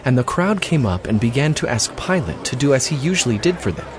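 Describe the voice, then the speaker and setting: A man's voice: mid-range voice, big pitch swings, fast talker, a little hiss or hum. A man reading scripted scripture narration as a drama's narrator.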